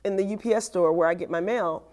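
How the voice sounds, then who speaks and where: Here is a woman host with a raspy, fairly low voice that has an edge of hardness. Her voice is loud and carries, and she tells stories in a lively, animated way in casual chat. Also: fast talker. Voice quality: raspy, with some bass and hardness. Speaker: podcast host. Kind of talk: casual chat, telling a story.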